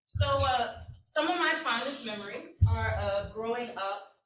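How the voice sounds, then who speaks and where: Woman, 30s, workshop presenter; earnest storytelling, bright tone, distant mic. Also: on the high side, lively intonation, a little slow, slight background noise. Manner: earnest, conversational, checks in with the audience. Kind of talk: personal storytelling talk. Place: conference room with an audience. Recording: echoey room, distant mic.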